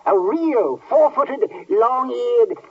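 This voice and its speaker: An actor playing an excitable old miser rancher in a 1950s radio drama, with an American accent, folksy Western speech and a husky voice. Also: male voice, very high-pitched, drawn-out words, heavily acted. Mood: giddy, generous. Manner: exclamatory, repeating words, folksy Western speech. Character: excitable old male miser rancher turned joyful and generous. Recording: muffled old radio, distant mic.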